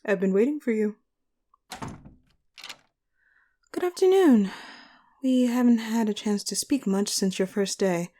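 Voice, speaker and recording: A woman performs a female supervillain sorceress who runs her lair like a considerate manager. Her voice is soft-edged and mellow at a middle pitch. She speaks with courteous, composed formality, as in an audio roleplay addressed straight to her minion. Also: lively intonation, normal speed, close to an everyday voice. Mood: courteous, welcoming. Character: polite, charismatic female supervillain sorceress boss, caring and composed.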